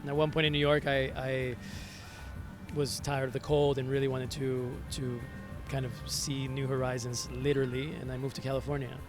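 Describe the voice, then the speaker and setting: A man from Venezuela, a native Spanish speaker, telling his story as an interview guest in a reflective, halting, conversational way, repeating words as he searches. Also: mid-range voice, flat delivery. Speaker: interview guest, musician and hospitality entrepreneur. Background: music.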